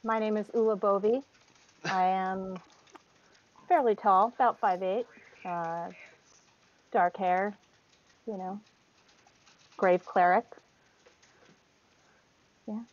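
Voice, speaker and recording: A woman on a video call, relaxed and hesitant with many fillers, describing her tabletop character. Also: medium pitch, slow, long pauses, muffled recording. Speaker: player. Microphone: webcam video call.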